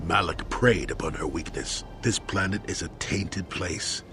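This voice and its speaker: An actor playing a grave, wise Jedi mentor in a video game, talking in a solemn, measured way. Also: male voice, sits low. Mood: grave, sombre. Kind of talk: dramatic video game acting.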